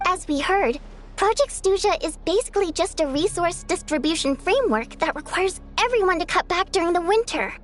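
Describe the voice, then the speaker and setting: A woman's voice: very high-pitched, expressive, brisk. An actress playing an outspoken, girlish young woman in a video game, direct and informal. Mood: curious. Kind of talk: scripted video game acting, conversational.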